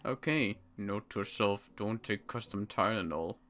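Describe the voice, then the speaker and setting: A man's voice: on the low side, measured pace, fairly bright tone. A man playing a wary, inquisitive survivor in an improvised zombie game roleplay, talking in a questioning, wordy way. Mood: uneasy.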